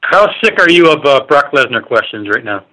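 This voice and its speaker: Bright-voiced man, halting reporter. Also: medium pitch, sing-song, poor audio. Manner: halting, rambling, informal questions. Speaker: sports reporter asking questions. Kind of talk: press conference call questioning. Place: remote on a media conference call.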